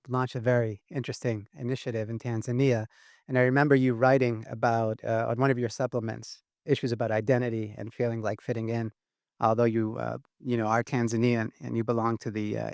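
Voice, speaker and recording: A man's voice: mid-range voice, gravelly, dry recording, studio quality. A man moderating a student panel, posing questions and praising the answers.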